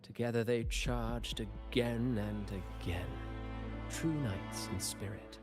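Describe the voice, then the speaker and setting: A man acting a sly, mocking schemer and storyteller in a video game, speaking in florid, measured, theatrical lines. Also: mid-range voice. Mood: grand storytelling. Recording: voice over game music.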